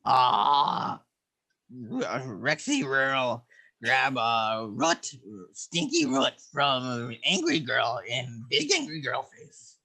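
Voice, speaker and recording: A man voicing a garbled comic narrator who reads halting, repetitive descriptions in broken speech, mixing up and dropping sounds. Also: mid-range voice, sing-song, pushed and bright, heavily acted, low-quality recording. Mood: halting, clownish. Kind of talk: comic dramatic reading played for laughs.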